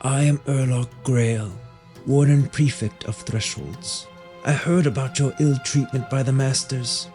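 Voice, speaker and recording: A man voices a scheming fantasy official who bargains for his own reward. His delivery is formal, measured and conspiratorial, at a middling pitch. Music plays clearly behind him. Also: warm tone, natural voice. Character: scheming male warden offering secret aid for reward. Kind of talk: fantasy audio drama acting.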